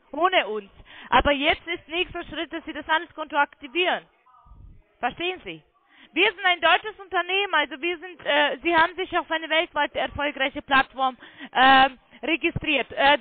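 A woman's voice: high-pitched, dry recording. A woman working as a call centre sales agent, talking in an insistent, condescending way on a phone sales call. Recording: tinny phone line.

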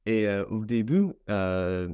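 A young Canadian man, a native English speaker, talking in careful learned French on a video call, with many hesitant pauses. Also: on the low side, mellow, clear, resonant tone, dull audio. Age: young adult. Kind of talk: casual conversation in learned French. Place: small room at home. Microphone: laptop webcam mic on video call.

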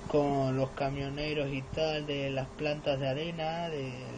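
A man's voice: mid-range voice, little pitch movement, a little slow. A teenage male, a novice radio operator, chatting casually on a radio contact. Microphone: camera filming the radio set in room. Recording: radio static and noise behind voice.

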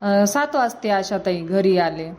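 A woman's voice: mid-range voice, expressive, unhurried, darkish tone, close to an everyday voice. A woman voicing a homely, earnest young married niece in a cartoon comedy, with a Varhadi Marathi accent. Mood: matter-of-fact. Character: homely young married niece visiting her village family. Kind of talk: cartoon comedy dialogue in rural dialect.

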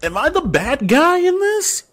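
A young man giving casual gaming reactions and commentary in an informal, expressive, slangy way, close on a desk microphone. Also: very high voice, measured pace. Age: young adult. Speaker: gaming YouTuber. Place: small room at home, indoors. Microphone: close desk microphone with pop filter.

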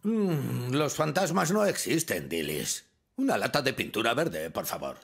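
Pompous, gravelly cartoon fire chief. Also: male voice, medium pitch, sing-song, faint music behind. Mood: composed. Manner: pompous, throat-clearing, drawn-out words. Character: stern, self-important senior fire officer, adult man. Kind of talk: children's cartoon dubbing.

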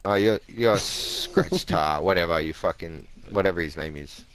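Low-voiced guy on a headset, worked up and cursing nonstop in game chat. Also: moderate pace, a bit bright, slight background noise. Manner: aggrieved, swearing, repeats himself. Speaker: stranger in game party chat. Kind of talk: heated voice chat.

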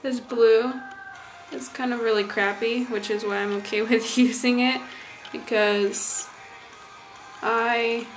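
A woman's voice: fairly high pitch, steady tone, slow, poor audio. A young adult woman rambling casually through a makeup tutorial, muttering offhand as she works in a small bathroom. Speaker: vlogger demonstrating makeup effects. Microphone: camera a short distance away. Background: music playing.

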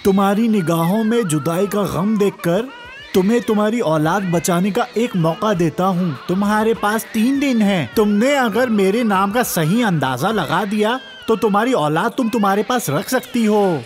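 A woman's voice: mid-range voice, animated, faint music behind, natural voice. A woman voicing a small, sly, demanding male dwarf in a children's cartoon story. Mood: mock pitying.

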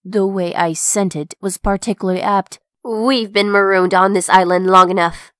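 A woman's voice: medium pitch, fairly even intonation, normal speed, a bit bright, no echo, clean studio sound, close to an everyday voice. A woman playing a haughty, ruthless young female revolutionary in a comic dub, speaking with zeal. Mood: zealous. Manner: haughty, zealous. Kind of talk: comic dub character acting.

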